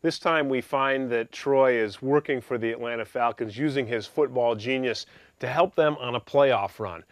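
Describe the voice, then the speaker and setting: Brief: male author-narrator, medium pitch, presenting his fiction on a close, dry studio mic. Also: normal speed, no room sound. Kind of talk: scripted narration and informal commentary.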